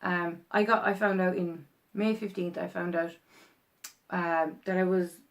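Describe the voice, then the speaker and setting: A woman's voice: on the low side, level tone, measured pace. A young Irish woman vlogging in a rambling, chatty way, full of ums and restarts as she explains a process. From Ireland. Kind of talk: casual explainer vlog.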